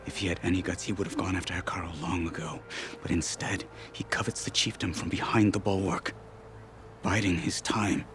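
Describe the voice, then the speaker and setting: Man acting a very deep, grim warrior marshal. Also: natural voice. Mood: contemptuous. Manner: terse, stern, weighty deliberate delivery. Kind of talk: dramatic video game cutscene acting.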